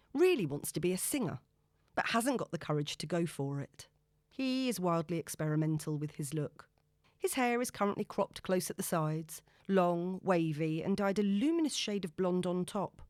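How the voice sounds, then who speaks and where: A woman narrating a novel in a wry, expressive storytelling manner. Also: on the low side, no echo, clean studio sound. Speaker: audiobook narrator.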